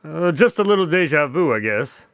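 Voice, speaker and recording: A man acting an easily exasperated detective's sidekick in a modern radio drama, with an expressive, indignant manner. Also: a little high, moderate pace, clean tone, no echo. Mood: curious, eager.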